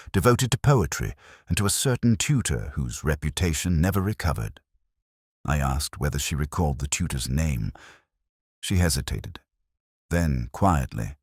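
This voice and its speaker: A man reading scripted first-person mystery narration in a measured, literary, melancholy manner. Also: extremely low pitch, breathy edge, no room sound, studio quality.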